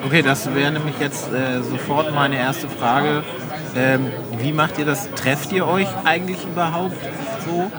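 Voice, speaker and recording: A man hosting a casual interview, asking questions in a halting way with restarts and filler sounds. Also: fairly high pitch, steady tone. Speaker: interviewer host. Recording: loud crowd chatter behind.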